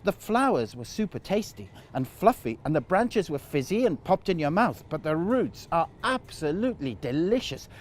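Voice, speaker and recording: Man voicing a keen, lively storybook billy goat. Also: a little high, moderate pace, few pauses, slight background noise. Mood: enthusiastic. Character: friendly male goat, keen on tasty food.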